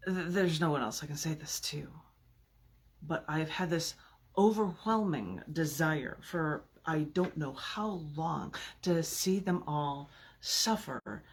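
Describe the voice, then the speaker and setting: Husky-voiced woman performing poetry. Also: low-pitched, slow pace. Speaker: poet reading own work aloud. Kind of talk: informal poetry reading with chatty asides.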